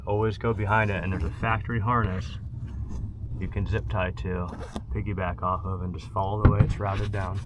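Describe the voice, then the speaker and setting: Low-voiced man giving a hands-on tutorial. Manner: plain-spoken, instructional, short practical phrases. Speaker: presenter of a truck installation how-to. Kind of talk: casual hands-on tutorial narration. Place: in and around a parked pickup truck outdoors. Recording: clear background noise, clicks of handling.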